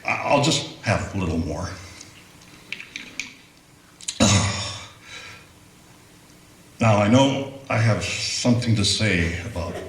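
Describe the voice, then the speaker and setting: A man putting on a drowsy rambling ad pitchman. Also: animated, takes it slowly, long pauses, slight background noise. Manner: rambling, trailing off, slurring. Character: drowsy, forgetful male pitchman in a spoof ad. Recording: roomy echo.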